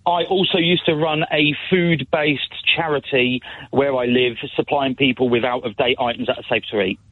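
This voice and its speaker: Husky-voiced man on a phone line. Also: mid-range voice, fairly even intonation, normal speed. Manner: confident, laughs easily, matter-of-fact. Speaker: radio caller. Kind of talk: phone-in chat.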